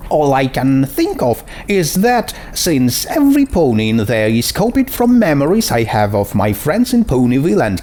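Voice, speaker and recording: A smug female pony mage from a fantasy storybook, acted out by a man, pitched well up and swooping as she explains herself. She is assured and dismissive, taking her time over the words. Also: a little mellow. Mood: uncertain.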